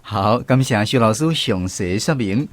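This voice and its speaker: A man's voice: on the low side, lively intonation, measured pace, dry recording. A man with a Taiwanese accent presenting a language segment as a radio host. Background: music bed.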